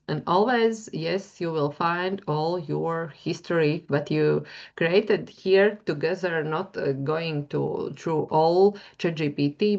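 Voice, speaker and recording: Slow, low-voiced Latvian woman lecturing. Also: gravelly, highs cut off. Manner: hesitant, many fillers, searching for words. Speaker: university lecturer. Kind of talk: webinar presentation, screen-share demo.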